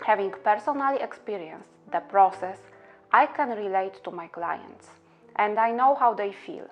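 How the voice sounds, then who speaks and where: Earnest Polish-accented woman attorney, measured scripted delivery, muffled audio with soft music bed. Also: mid-range voice, lively intonation. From Poland. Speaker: immigration attorney. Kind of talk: scripted promotional monologue.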